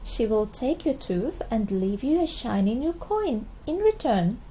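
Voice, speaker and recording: A woman acts a reassuring mother pig for a children's storybook. The voice is mellow and slow, patient and soothing as it explains things. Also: on the high side, big pitch swings, a little hiss or hum.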